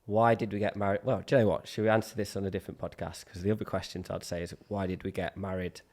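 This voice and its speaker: A man's voice: sits low, level tone, fast talker, a little mellow. A man co-hosting a casual podcast with his wife, rambling and self-deprecating. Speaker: podcast co-host. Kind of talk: casual chat.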